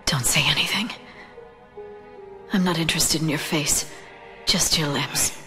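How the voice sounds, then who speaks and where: Seductive defiant femme fatale, reverberant. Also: female voice, low voice, unhurried, bright, forceful tone. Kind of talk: video game cutscene acting. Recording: long reverb, music behind.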